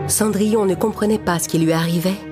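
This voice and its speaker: A woman's voice: mid-range voice, steady tone, a little fast. Woman narrating a children's tale over music. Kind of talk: scripted children's story narration.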